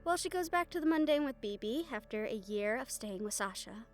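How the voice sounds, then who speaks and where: A woman's voice: fairly high pitch, lively intonation, a little fast, soft background music. A woman giving scripted story narration for an audio roleplay, as its storyteller. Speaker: storyteller and voice performer.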